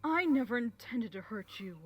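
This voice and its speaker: A woman playing a cold, manipulative pony queen in a dramatic fan fiction audio reading, speaking slowly and deliberately. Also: fairly high pitch, lively intonation. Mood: cold, confiding. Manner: slow, menacing, silky and deliberate. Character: sinister regal mare ruler, cold and manipulative. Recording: voice over background music.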